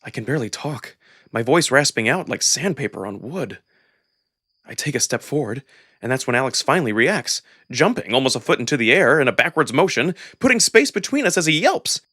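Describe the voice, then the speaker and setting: A man giving an expressive, dramatic first-person narration of a visual novel. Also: mid-range voice, a little fast, husky, dry recording, studio quality. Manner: expressive, acted-out delivery. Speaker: narrator and voice performer.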